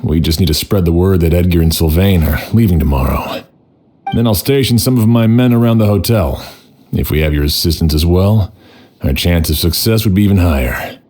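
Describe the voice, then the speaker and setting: A weary, fair-minded sheriff, with a low, deep voice. He speaks deliberately and sounds tired, a game cutscene figure. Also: a little mellow. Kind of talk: video game voice acting.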